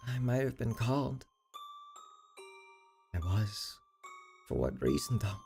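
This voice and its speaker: A woman's voice: rumbling low, very animated, slow, vocal fry, warm tone, full character voice. A woman voicing a solemn, haunted young woman in a game, brooding and resigned to fate. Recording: background music under the voice.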